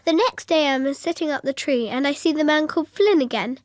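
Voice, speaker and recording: A woman's voice: high voice, brisk, lightly acted. A female performer playing an imaginative, earnest little schoolgirl in a radio drama, rambling and literal-minded as she talks and narrates. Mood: chatty, curious.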